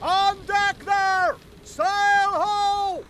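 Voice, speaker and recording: An actor playing an alert young ship's lookout in an audio drama, with drawn-out hailing calls. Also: male voice, very high-pitched, flat delivery, a loud crowd, exaggerated voice. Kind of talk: full-cast audio drama acting.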